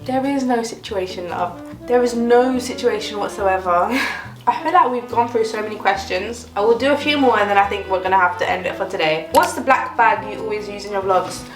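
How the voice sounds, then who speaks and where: A woman's voice: mid-range voice, fairly quick. Chatty young woman in an echoey room. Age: 20s. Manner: chatty and upbeat, with light laughs. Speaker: vlogger. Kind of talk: casual Q&A chat.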